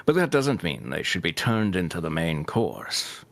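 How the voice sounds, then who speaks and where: A man's voice: sits low, wildly swooping pitch, measured pace, gravelly, no echo, full character voice. A man voicing a refined, bemused radio psychiatrist who gives earnest advice in formal phrasing, in a comedic fanfiction reading. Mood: earnest, exasperated. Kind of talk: comedic fanfiction read-aloud.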